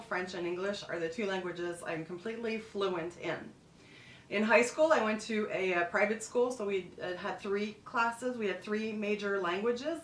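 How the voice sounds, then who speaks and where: A middle-aged woman chats about her life to camera, easygoing and full of asides. Her voice sits at an ordinary pitch. The room rings around her, the mic is set back, and a faint rumble sits underneath. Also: sharp tone, echoey room, recorded from a distance, poor audio. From Montreal, Quebec, Canada. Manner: chatty, loose, with restarts and asides. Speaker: food and travel blogger. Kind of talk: casual vlog monologue.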